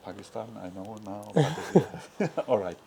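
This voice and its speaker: A man's voice: lowish voice, expressive, a little slow, vocal fry, dark tone, slightly rough. A man interviewing a former student, asking short questions with hesitant fillers.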